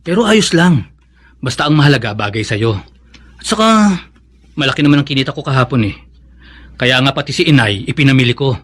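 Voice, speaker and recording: A man's voice: medium pitch, lively intonation, a little fast, long pauses, fairly bright tone. An actor with a Filipino accent playing a stubborn, ambitious schoolteacher and family man in a radio drama, earnest and emphatic. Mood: fond, proud. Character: stubborn, ambitious schoolteacher husband, father and son.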